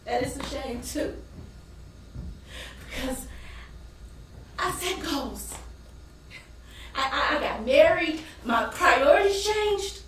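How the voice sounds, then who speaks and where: A woman playing a weary, embittered working-class wife and mother in an emotive, dramatic stage monologue with long pauses. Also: sits high, lively intonation, a bit bright, far from the mic, exaggerated voice. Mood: anguished. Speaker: stage actor. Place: indoor stage performance space. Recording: roomy echoing theater from afar, faint noise.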